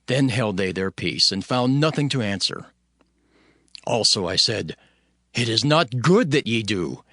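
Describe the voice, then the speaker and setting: Animated male narrator of dramatized scripture. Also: on the low side, measured pace, no room sound, studio quality. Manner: declamatory, solemn. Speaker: narrator reading as Nehemiah. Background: music.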